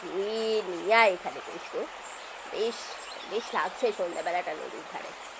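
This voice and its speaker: A woman voicing a relaxed, courteous young lawyer in an audiobook story, speaking in an unhurried, familiar way. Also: lowish voice, gravelly, background noise, voice pushed down. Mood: relaxed, content. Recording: music behind the voice.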